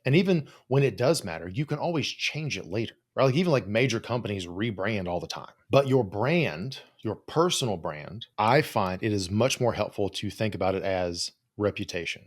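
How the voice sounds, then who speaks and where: A man with a fairly low voice gives advice on his own as a host and coach. His delivery is informal and conversational. He is blunt and no-nonsense, and drops swear words casually as he talks. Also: animated, moderate pace, hardly any pauses. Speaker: host, psychologist and business coach for creators. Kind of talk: informal solo advice talk.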